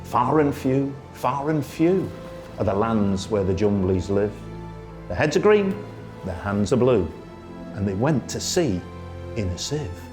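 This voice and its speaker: A man reciting a children's poem as a storyteller, in a theatrical, singsong way with drawn-out phrasing. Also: fairly low pitch, big pitch swings, music in the background. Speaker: storyteller, radio presenter. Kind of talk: expressive children's poetry recitation.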